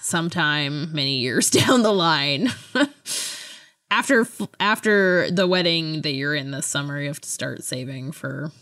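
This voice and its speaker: A woman's voice: low voice, expressive, a little slow, a bit bright. A woman co-hosting a podcast in casual chat, with an informal, conversational way of talking. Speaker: podcast co-host.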